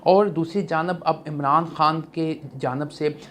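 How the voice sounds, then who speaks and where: A man's voice: a little high, fairly even intonation, unhurried. Pakistani man presenting news over background noise. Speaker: news commentary channel host. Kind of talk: news commentary to viewers. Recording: clear background noise, ambient sound.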